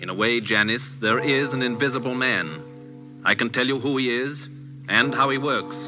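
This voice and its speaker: An actor playing a steady, secretive young doctor, firm and reassuring, in 1940s radio style. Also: male voice, medium pitch, normal speed, close to an everyday voice. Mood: grave, confiding. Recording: muffled vintage radio recording, music behind.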